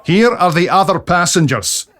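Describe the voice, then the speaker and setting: A man's voice: high voice, sing-song, normal speed, a bit bright. A man playing a brusque, impatient mail coach guard in an audio drama, curt and commanding. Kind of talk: audio drama character acting.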